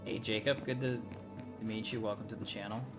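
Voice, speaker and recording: A man live-streaming a game in a lowish voice. He rambles casually to his viewers between bits of play, and loud game music runs behind him. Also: fairly even intonation, moderate pace. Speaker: live streamer and YouTube gamer. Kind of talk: casual live gameplay commentary.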